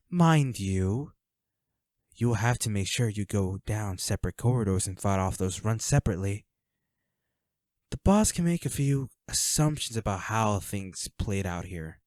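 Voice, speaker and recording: A man's voice: fairly low pitch, a little mellow, no echo, clean studio sound, natural voice. A man playing a flirty, smug villain henchman in a roleplay audio drama, taunting a captive in a teasing, coolly controlling manner.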